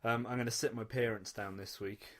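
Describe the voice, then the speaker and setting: A man co-hosting a casual podcast, talking in a flat monotone with dry teasing. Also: medium pitch, a little fast, crowd noise. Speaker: podcast co-host. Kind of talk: casual chat.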